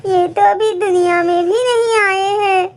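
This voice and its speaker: A woman voicing a pleading, self-sacrificing mother bird in an animated moral story. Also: very high voice, measured pace. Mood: desperate pleading. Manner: pleading, earnest.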